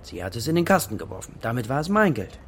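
A man plays a tender, somewhat reluctant father in a children's radio drama. His mid-pitched voice moves in very lively swings. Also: fast talker, a little hiss or hum, natural voice. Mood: wry storytelling. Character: reluctant but tender father, a street-violinist. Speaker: actor in a children's radio play.

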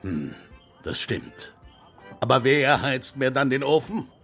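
Puppet master for children's radio play: theatrical, sentimental bully, croaky, swooping delivery. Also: male voice, mid-range voice, sing-song, takes it slowly, a bit bright, faint music behind, full character voice. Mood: grudging, vain. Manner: theatrical, swinging from menacing to tearful.

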